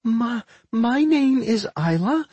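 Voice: medium pitch, very animated, moderate pace, a little mellow, slightly rough, no echo, pitched above the performer's own voice. A narrator voicing a young warg girl in an audiobook, shy, earnest and bluntly feral, speaking in simple declarations. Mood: hesitant.

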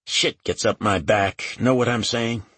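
A man's voice: fairly low pitch, steady tone, measured pace, a bit bright, slightly rough, dry recording, voice pushed up. A man voicing a brash, sarcastic, streetwise woman agent who talks in taunting, profane slang, in an audiobook.

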